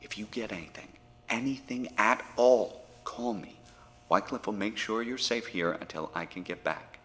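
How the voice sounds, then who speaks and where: An actor playing an earnest, diplomatic federal agent in an audio horror drama, speaking politely and persuasively. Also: male voice, on the low side, moderate pace, fairly bright tone, close to an everyday voice. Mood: concerned, urgent. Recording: very reverberant, with noise behind.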